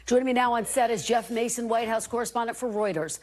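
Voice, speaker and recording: A woman anchoring a television news show, with crisp, brisk broadcast delivery as she interviews and introduces segments. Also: fairly high pitch, a little hiss or hum.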